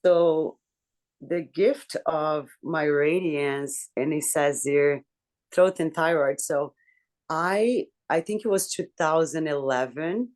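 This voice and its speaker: A woman with a deep voice, earnestly telling a personal story to her book club over a video call. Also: slow pace, darkish tone. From Brazil. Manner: earnest, candid, reflective storytelling. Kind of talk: personal story in a group discussion.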